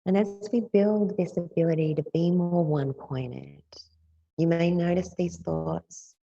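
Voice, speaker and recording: A woman teacher leading a guided yoga nidra meditation in a gentle, soothing, unhurried way, leaving long pauses between phrases. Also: on the low side, steady tone, vocal fry, breathy edge, no echo, muffled recording.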